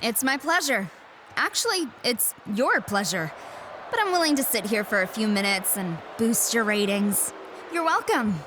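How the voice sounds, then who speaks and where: A woman's voice: on the high side, big pitch swings, normal speed, background noise. A woman playing an arrogant, sneering wrestling champion in a game, with a smug, sarcastic and cutting delivery. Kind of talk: scripted sports-entertainment promo.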